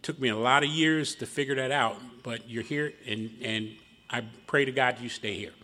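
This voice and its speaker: A man's voice: mid-range voice, normal speed, pushed and bright. A middle-aged man with a Philadelphia accent, giving a folksy, self-deprecating personal testimony to an audience at a recovery meeting. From Philadelphia, Pennsylvania. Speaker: guest speaker at a recovery meeting. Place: meeting room before an audience.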